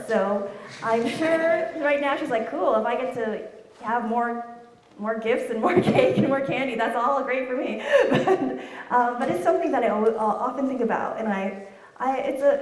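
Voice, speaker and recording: A woman's voice: fairly high pitch, a little mellow. A woman in her thirties, an author and illustrator, chatting casually while answering audience questions, laughing often. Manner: chatty, laughs often, many fillers and restarts. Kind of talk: casual Q&A talk. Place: room with an audience. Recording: echoey room, distant mic.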